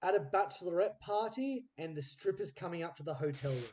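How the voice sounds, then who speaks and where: A man's voice: high voice, animated, brisk, darkish tone, a little hiss or hum. A man hosting a podcast, interviewing guests in a casual style and setting improv prompts.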